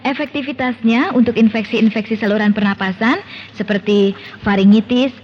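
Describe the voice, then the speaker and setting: A woman's voice: a little high, steady tone, normal speed, lightly acted. An actress playing a keen, earnest woman doctor making a persuasive case in a scripted radio advertisement. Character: female doctor, keen, persuasive product advocate. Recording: dull radio recording with faint noise.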